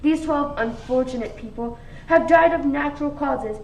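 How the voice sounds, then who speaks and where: A woman's voice: high voice, moderate pace, gravelly, darkish tone, muffled recording. A woman playing a self-important, crooked police inspector in a comic radio mystery, declaiming pompously. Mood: pompous, indignant. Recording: echoey room, distant mic, faint noise.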